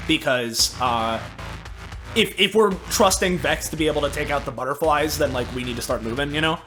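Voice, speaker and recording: A man's voice: fairly high pitch, a little fast, music in the background. A man playing a tabletop roleplaying game, talking through tactics in casual chat.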